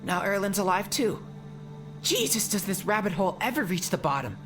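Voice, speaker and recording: Man doing a bewildered sidekick with swooping pitch. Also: very animated, fairly quick, music in the background. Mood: doubtful. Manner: incredulous, stammering, questioning. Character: bewildered, doubtful male friend and technical sidekick. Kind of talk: audiobook character acting.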